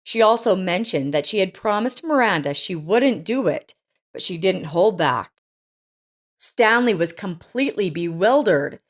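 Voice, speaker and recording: A woman reading a scripted life story as its narrator. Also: mid-range voice.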